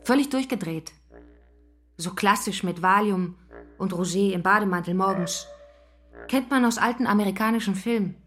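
A woman's voice: mid-range voice, normal speed, long pauses, a bit bright, faint music behind, natural voice. An actress playing a gossipy, brittle woman in a drama, talking in a clipped, sardonic, scornful way. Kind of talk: theatrical dialogue acting.

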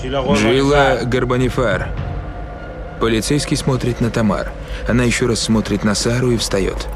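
A man reading scripted narration as a voice-over in an even, matter-of-fact way, with crowd noise underneath. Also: mid-range voice, unhurried, music in the background.

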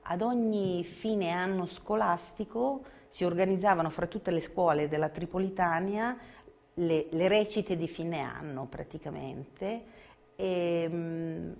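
A woman's voice: fairly low pitch, slow, gravelly, darkish tone. An older woman giving spontaneous testimony, recalling her past. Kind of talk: spontaneous recollection.

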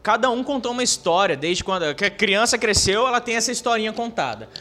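A man's voice: sits high, lively intonation, quick pace, bright, forceful tone. A man co-hosting a podcast in casual, slangy, emphatic chat. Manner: slangy, emphatic, says "mano".

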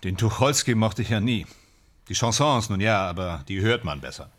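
Low-voiced, vain, wistful actor. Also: male voice, lively intonation, normal speed, fairly bright tone. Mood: curious, wary. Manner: theatrical, musing in clipped fragments. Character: vain, wistful male film and stage actor in Nazi-era Germany. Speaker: actor in a radio drama. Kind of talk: radio drama monologue and dialogue.

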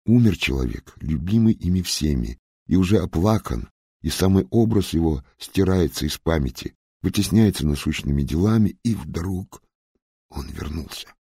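A man reading literary fiction as an audiobook narrator, in a measured storytelling delivery. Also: low-pitched, lively intonation, dark tone, slightly rough, no room sound, clean studio sound. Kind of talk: scripted literary narration of a novel.

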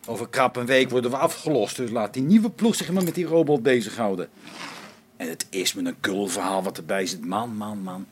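A man's voice: lowish voice, fairly quick, slight background noise, close to an everyday voice. A man playing a grumbling, sarcastic space-station technician in a radio play, complaining with exasperation. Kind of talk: Dutch radio play acting.

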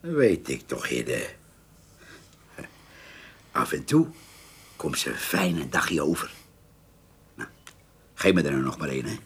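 An actor playing a blunt, folksy old countryman in a radio play, with a gravelly, husky voice and halting phrases. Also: extremely low pitch, wildly swooping pitch, a bit bright, full character voice. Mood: tipsy, fond. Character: gruff old countryman, poacher, loyal drinking companion.

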